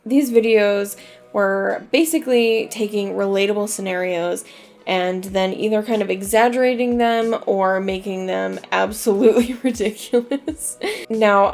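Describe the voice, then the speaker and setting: Chatty female video host, gravelly fry, self-deprecating, soft music under her voice. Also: medium pitch. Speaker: YouTube host. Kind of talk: commentary video narration.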